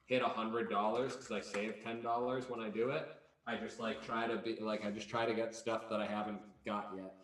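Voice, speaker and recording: A young man in his twenties rambles excitably and swears as he tells stories. His voice has a bright, forward edge. He is set back from the mic in a roomy, echoing space. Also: on the low side, moderate pace, recorded from a distance. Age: 20s. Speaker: podcast co-host. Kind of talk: casual storytelling chat.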